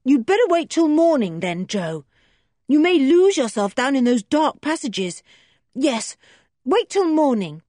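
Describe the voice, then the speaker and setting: A woman voicing a sensible young boy who talks in a brisk, encouraging way, in a storybook reading. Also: high-pitched, very animated, dark tone, no room sound, clean studio sound, pitched above the performer's own voice. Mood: reassuring. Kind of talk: storybook character voice.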